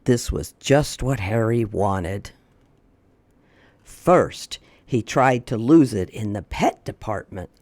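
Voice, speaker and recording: A man's voice: on the low side, expressive, takes it slowly, darkish tone, no echo. A man hosting a storytime for young children, chatting and reading aloud in a folksy way. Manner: folksy, repeats questions, talks aloud to companion. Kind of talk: casual chat to young children and picture-book read-aloud.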